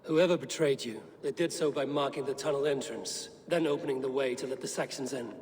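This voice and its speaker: A man playing a stoic, grim Viking warrior in a video game, speaking in blunt, measured, probing questions. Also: medium pitch, steady tone, a little mellow, big-room sound, close to an everyday voice. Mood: grave, probing. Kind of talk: video game dialogue acting.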